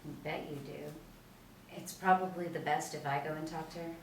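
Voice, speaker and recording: A woman's voice: sits low, fairly even intonation, brisk. A woman reading the part of a composed, skeptical FBI agent questioning a suspect, at a TV pilot table read. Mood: matter-of-fact. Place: small meeting room, seated in a row. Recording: echoey room, distant mic, faint noise.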